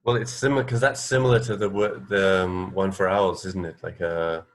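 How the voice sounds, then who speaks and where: A man with a low-pitched voice co-hosts a casual radio chat. He talks through trivia informally, pausing and restarting as he thinks aloud. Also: fairly even intonation. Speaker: radio show co-host.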